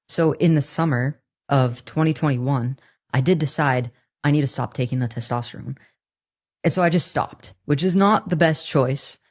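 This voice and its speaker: A woman in her twenties with a somewhat deep voice, telling her own story as an interview guest in an earnest, candid way, with halting restarts. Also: a little mellow. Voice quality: somewhat deep, masculine-leaning voice. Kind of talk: personal testimony in a podcast interview.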